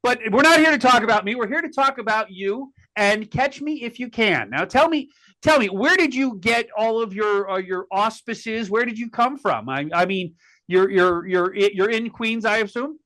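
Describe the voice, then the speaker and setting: Chatty, bright-voiced host man. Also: high voice, rough recording. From Connecticut, USA. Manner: folksy, chatty, halting with repeated words. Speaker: podcast host. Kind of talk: casual interview talk.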